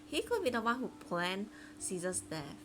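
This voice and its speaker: A woman's voice: mid-range voice, big pitch swings, moderate pace, over music. A woman live streamer giving casual gameplay commentary, thinking aloud in a chatty way.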